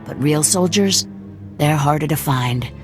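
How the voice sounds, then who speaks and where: A woman's voice: low voice, measured pace, soft background music. An actress playing a tough, suspicious female rebel cell commander in a video game, blunt and threatening. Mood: blunt, menacing. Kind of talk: scripted video game dialogue.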